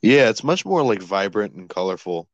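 Brief: young male co-host, teasing, lively up-and-down voice, slightly dull dry remote audio. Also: on the low side, no echo. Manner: teasing, quick to laugh. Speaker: podcast co-host. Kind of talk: casual chat.

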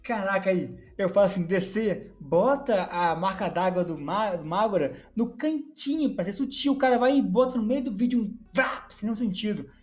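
A young Brazilian man hosting a casual chat about magic, blunt and quick to swear. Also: sits high, lively intonation, faint music behind. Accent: Brazilian Portuguese. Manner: blunt, rapid, swears freely. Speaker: podcast host.

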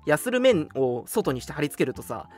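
A radio host chatting casually and telling stories in an animated, emphatic, colloquial way. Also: medium pitch, a little fast. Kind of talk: casual chat, storytelling. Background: background music.

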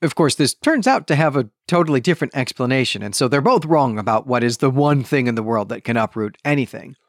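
Male book podcast host, mid-pitched voice, conversational and enthusiastic, self-correcting as he goes, close dry recording. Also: expressive, quick pace, no echo. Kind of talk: book discussion monologue.

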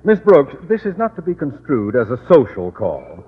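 Casting: officious, irascible male principal, husky, swooping delivery, vintage radio sitcom, tinny sound. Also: mid-range voice, wildly swooping pitch, normal speed, exaggerated voice. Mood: pompous. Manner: pompous, long-winded, orotund. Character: pompous, autocratic, short-tempered male school principal. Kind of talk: 1940s-50s radio comedy acting. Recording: tinny, narrow old radio recording.

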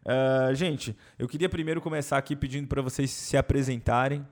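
A man's voice: mid-range voice, fairly even intonation, a little fast, a little mellow. A man hosting an interview, prompting guests and summarizing their answers in a casual, hesitant way. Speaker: interview host.

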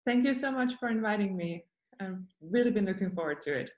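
A woman's voice: medium pitch, expressive, a little fast. Hesitant Danish-born woman in an echoey room. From Denmark. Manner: thoughtful, hesitant with many fillers. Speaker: guest. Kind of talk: podcast interview.

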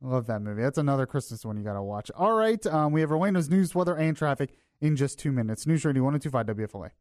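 A man's voice: medium pitch, sing-song, fast talker, darkish tone, a little hiss or hum. A man filling in as host of a morning radio show, chatting casually and laughing often. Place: radio studio. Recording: dry studio mic.

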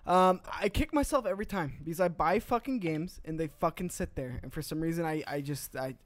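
A man's voice: on the high side, a little fast. A man co-hosting a casual gaming podcast, joking and swearing with friends. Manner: profane, joking banter. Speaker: podcast co-host.

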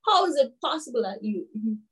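A woman in her twenties from Grenada, a guest telling stories in a casual interview, chatty and animated with many fillers. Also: a little high, brisk, slightly rough. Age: 20s. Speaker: guest, children's author.

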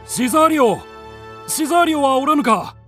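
Lordly duke with swooping delivery. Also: male voice, extremely high pitch, expressive, brisk, fairly bright tone, soft background music. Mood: calling, pleased. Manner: lordly, theatrical, formal. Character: lovestruck, dignified duke, earnest and imploring with his page. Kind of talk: radio drama acting.

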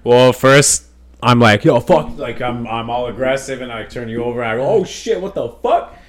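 A man in casual podcast chat, swearing often and acting out imagined scenes with sudden loud bursts. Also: mid-range voice, normal speed. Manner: animated, swears a lot, acts out scenes in loud put-on voices. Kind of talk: casual chat, hypothetical storytelling.

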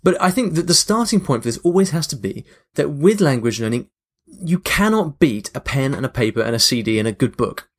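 A man's voice: mid-range voice, animated, fairly quick, few pauses. Male podcast host, casual solo talk, mid-range voice, reflective with emphatic points. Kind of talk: casual chatty monologue to listeners.

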